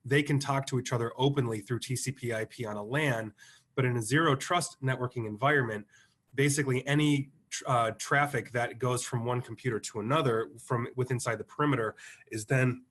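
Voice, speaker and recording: A man with a hoarse, fading voice from the flu, explaining technical ideas as a guest expert on a webinar, clearing his throat now and then. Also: medium pitch, steady tone, a little fast, a bit bright. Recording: remote webinar audio.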